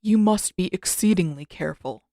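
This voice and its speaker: A woman voicing a stern old male spirit mentor, grave, measured and formal, in a story reading. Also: lowish voice, warm tone, dry recording, studio quality, lightly acted. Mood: grave warning. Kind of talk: fan fiction audiobook character voice.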